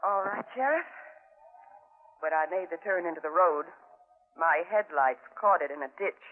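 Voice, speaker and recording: Actor as a grave, guarded country doctor. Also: male voice, a little high, narrow, tinny audio, faint music behind, close to an everyday voice. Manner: grave, measured, hesitant. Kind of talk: 1940s radio horror acting. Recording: thin, band-limited old radio broadcast.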